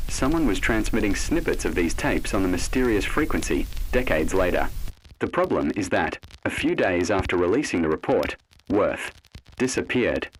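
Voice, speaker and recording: A man reading scripted horror mystery narration in a measured, ominous, dramatic way. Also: sits low, sing-song, slightly rough, rough recording.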